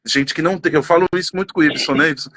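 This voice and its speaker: A Brazilian man in his thirties talks with feeling and a fairly high voice. He is expressive and jumps between stories. The sound is close and dry. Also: racing, no echo. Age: 30s. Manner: emotional, expressive, sings snatches of songs. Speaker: panel guest. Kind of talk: casual conversation.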